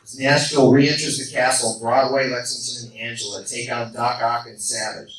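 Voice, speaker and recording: A mid-pitched man delivers scripted narration for a live radio play. He sounds far from the microphone. The room is echoey and the recording noisy. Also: flat delivery, normal speed. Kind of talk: scripted narration with comic asides.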